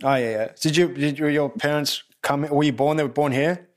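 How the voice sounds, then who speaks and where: A man's voice: fairly high pitch, fairly even intonation, normal speed. A man with an Australian accent interviewing a guest, asking questions in a rambling, hesitant way. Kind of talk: casual interview chat.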